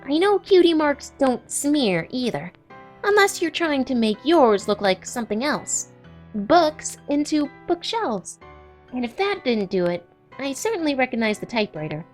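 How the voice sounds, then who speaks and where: A woman's voice: high-pitched, big pitch swings, normal speed, soft background music. A woman voicing an eager, bookish young female cartoon pony with an earnest, wordy, apologetic manner in a comic dub. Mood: confident, earnest.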